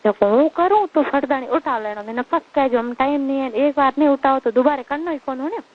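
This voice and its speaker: Female caller, Marwari accent, high voice, informal private conversation over a narrow phone line. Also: fast talker. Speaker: caller on a personal phone call. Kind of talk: casual intimate phone chat. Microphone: telephone line. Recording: thin, band-limited phone call recording.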